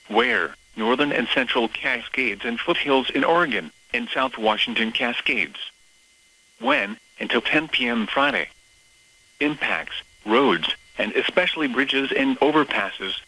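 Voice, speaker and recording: A synthetic male voice reading scripted weather bulletins as a radio announcer, in a formal, measured, list-like delivery. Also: mid-range voice, pushed and bright, husky, rough recording. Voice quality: synthetic text-to-speech voice.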